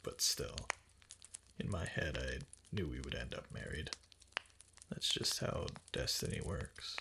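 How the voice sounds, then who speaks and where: A man playing a doting, obsessively devoted fox-spirit husband in an intimate roleplay ASMR, speaking softly and tenderly in breathy, halting endearments. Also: extremely low pitch, animated, noisy background, full character voice. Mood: fond, reminiscing. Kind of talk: intimate roleplay ASMR, soft and partly whispered.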